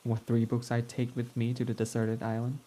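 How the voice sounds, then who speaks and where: A man's voice: mid-range voice, completely flat delivery, brisk, warm tone, a little hiss or hum, close to an everyday voice. A man voicing a prickly, snarky male villain in an ASMR roleplay, talking in a sarcastic, mocking, dismissive way. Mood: irritated.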